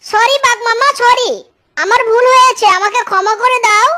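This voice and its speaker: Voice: very high voice, fairly even intonation, moderate pace, bright, forceful tone. An actor voicing a sly, greedy, lazy male cartoon fox, with an exclamatory, cajoling manner. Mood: pleading. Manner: exclamatory, cajoling, catchphrase-laden. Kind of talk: exaggerated children's cartoon acting. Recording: music behind the voice.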